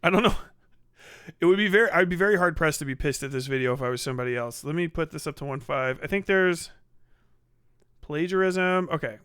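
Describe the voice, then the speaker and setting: A man's voice: on the high side, animated, brisk, fairly bright tone, clean tone, dry recording, studio quality. A man hosting a casual livestream reaction, talking bluntly and swearing freely. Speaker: livestream host.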